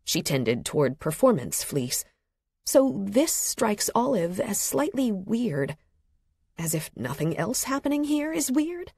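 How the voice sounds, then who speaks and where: Female audiobook narrator for literary fiction: slow, measured and expressive, slightly breathy-rough tone, very dry recording. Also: mid-range voice, no echo. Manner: measured, expressive dramatic reading. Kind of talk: scripted literary fiction narration, present tense. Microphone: close studio microphone.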